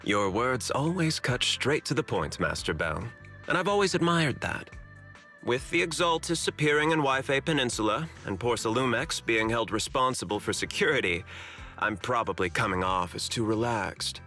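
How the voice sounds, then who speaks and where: Bright-voiced, animated, suave corporate schemer. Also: male voice, mid-range voice, normal speed, faint music behind. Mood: amused, smooth. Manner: polished, courteous, theatrical delivery. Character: suave, flattering corporate general manager, smoothly diplomatic schemer. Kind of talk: video game story dialogue acting.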